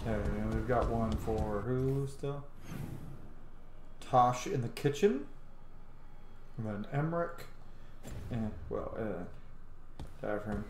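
A man's voice: on the low side, animated, slow pace, pauses often, reverberant, noisy background. A man in his thirties giving casual playthrough commentary on a video game from a room at home. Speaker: gameplay streamer. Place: small room at home, indoors.

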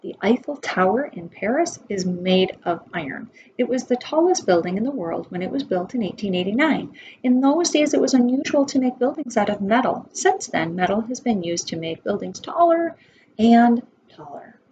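A woman's voice: fairly high pitch, sing-song, moderate pace. An American woman in her forties reading a children's nonfiction book aloud as a preschool teacher, instructive, with asides to her listeners. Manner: instructive, asks listeners questions. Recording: dull lo-fi webcam sound, background noise.

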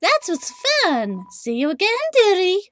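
Man acting out a female spider monster, high-pitched, sing-song swings, sweetly menacing, dainty, game villain. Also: big pitch swings, a little slow, fairly bright tone, exaggerated voice. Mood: playful.

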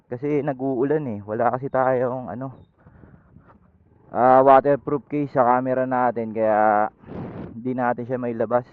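A man's voice: medium pitch, no room sound. A man vlogging casually as he rides a motorcycle, talking loosely through a helmet intercom headset. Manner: rambling, loose and chatty with fillers. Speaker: motovlogger. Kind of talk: casual how-to vlog, chatting while riding. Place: outdoors on a motorcycle. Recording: dull lo-fi intercom audio.

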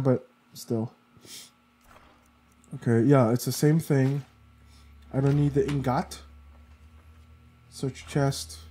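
A man's voice: mid-range voice, dark tone, breathy edge. Sniffly man muttering through a game. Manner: muttering to himself while playing. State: sniffly, congested. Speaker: let's play gamer. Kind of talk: casual gameplay commentary.

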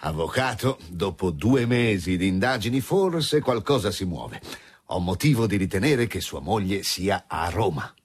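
An actor playing a dogged, world-weary middle-aged police commissioner in a radio drama. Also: male voice, deep voice, sing-song, a little slow, slightly rough, exaggerated voice. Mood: tactful, firm. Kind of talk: naturalistic radio drama acting.

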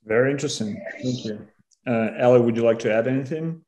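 Mellow-voiced host in an echoey room. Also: male voice, medium pitch, fast talker. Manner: brief, hesitant questions with repeated words. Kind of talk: video call interview. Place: room at a desk. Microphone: laptop on video call. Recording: roomy echo.

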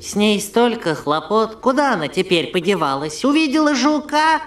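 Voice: mid-range voice, very animated, moderate pace, lightly acted. An actor voicing an earnest, bookish young male monkey in a children's cartoon, explaining things a little uneasily. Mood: businesslike. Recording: echoey, loud music behind.

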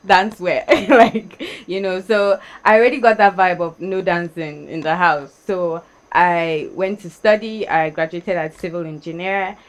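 Chatty young Nigerian woman, low-pitched. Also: a little slow, few pauses. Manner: laughs often, chatty and lively. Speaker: guest, dancer. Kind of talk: casual interview chat. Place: decorated studio set.

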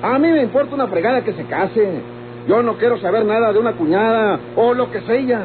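A man playing a gruff, irritable Mexican rancher in a golden-age radionovela, with a Mexican accent and emphatic delivery. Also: high-pitched, a little fast, natural voice. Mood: exasperated, angry. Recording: tinny, noisy old radio recording.

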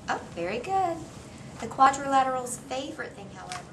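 A woman's voice: sits high, big pitch swings, a little fast. A middle-aged woman reads a storybook aloud to a young child as a teacher, encouraging and prompting with questions. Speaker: teacher reading aloud to a kindergartener. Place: small indoor classroom reading corner. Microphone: camera a few meters away. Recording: noisy room, distant camera microphone.

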